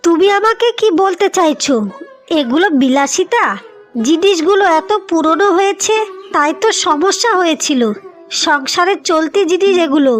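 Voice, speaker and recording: A cartoon young wife played by a woman with a very high voice. She is breezy, vain and defiant, always answering back, over a music bed. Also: normal speed. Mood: defiant, indignant. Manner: defiant, retorting. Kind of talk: cartoon voice acting.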